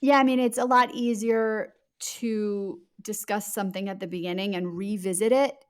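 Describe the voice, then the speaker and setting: Emphatic, fluent woman with mid-pitched voice. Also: steady tone, normal speed. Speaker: guest author. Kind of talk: conversational interview explanation.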